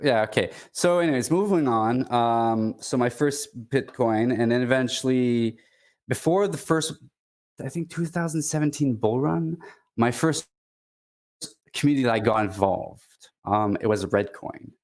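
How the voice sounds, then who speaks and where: A man's voice: medium pitch, darkish tone. A man from Quebec with a Quebecois French accent, a native French speaker chatting casually as a guest, stopping and restarting often. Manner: halting, many restarts and fillers. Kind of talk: casual chat.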